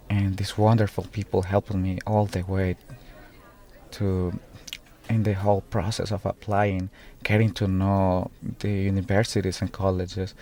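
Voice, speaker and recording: A nineteen-year-old man from El Salvador, telling his own story for a documentary video in a halting, earnest way with frequent pauses. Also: deep voice, dark tone. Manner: halting, earnest, pauses often mid-sentence. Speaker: student. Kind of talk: personal testimony in a documentary video.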